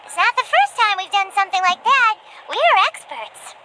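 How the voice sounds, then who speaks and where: A woman voicing a tiny, chatty, cheeky fairy girl with a squeaky childlike voice in a video game cutscene. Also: very animated, normal speed, bright, forceful tone, a little hiss or hum, heavily acted. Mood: cheeky, eager. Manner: exclamatory, refers to self in third person.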